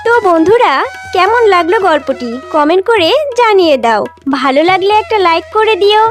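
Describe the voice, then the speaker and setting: A female channel presenter addresses viewers from a script. Her pitch is very high, and music plays clearly behind her. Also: lively intonation, fairly quick.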